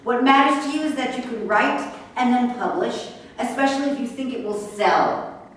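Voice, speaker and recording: A woman's voice: a little high, moderate pace, natural voice. Echoey, sarcastic actress as a bitter woman trainer. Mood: biting. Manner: sarcastic, confrontational. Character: bitter, sharp-tongued woman racehorse trainer, hurt and sarcastic. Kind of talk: staged play reading. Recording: very echoey room, distant mic, faint noise.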